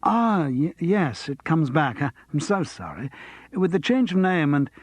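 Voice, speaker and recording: A wry, evasive jobbing actor with a lively, slightly husky voice, as a male narrator plays him. Dry and close to the mic. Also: fairly high pitch, unhurried, no echo, pitched above the performer's own voice.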